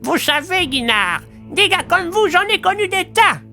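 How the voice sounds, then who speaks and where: Feisty old bar keeper, high sharp voice, taunting and sarcastic, music behind. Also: female voice, sing-song, moderate pace, creaky, full character voice. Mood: mocking, defiant. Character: mocking, defiant old woman, village bar keeper. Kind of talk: audio drama acting.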